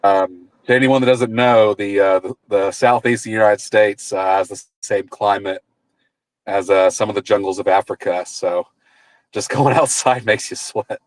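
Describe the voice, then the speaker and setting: Rambling man in his thirties, low voice. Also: fairly even intonation, normal speed, dry recording, rough recording. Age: 30s. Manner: rambling, with frequent restarts and repeated words. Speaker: guest political candidate. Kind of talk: casual interview talk.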